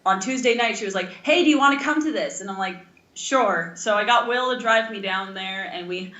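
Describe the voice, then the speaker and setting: Bright-voiced young woman, roomy sound. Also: mid-range voice, fairly quick, dull audio. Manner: enthusiastic, rambling. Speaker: podcast co-host. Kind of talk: casual chat.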